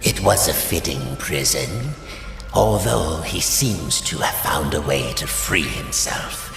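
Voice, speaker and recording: An actor playing a smooth-talking, menacing tyrant of a dark world in a video game, theatrical and falsely courteous. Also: male voice, rumbling low, expressive, slow pace, echoey room, in a large hall, faint music behind, full character voice. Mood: suave, condescending. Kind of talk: video game villain monologue.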